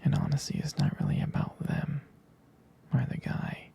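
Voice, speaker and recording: A man playing a jealous, protective, devoted young boyfriend in an intimate ASMR roleplay, whispering hesitantly in a breathy, husky voice. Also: close to an everyday voice. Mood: tender, jealous. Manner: hesitant, slow, halting, soft-spoken.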